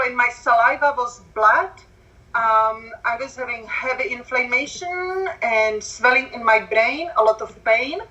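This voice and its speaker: Slow, bright-voiced woman with a Czech accent. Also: high voice, low-quality recording. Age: 40s. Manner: slow, careful speech with non-native grammar. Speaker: guest. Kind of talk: telling her story on a call.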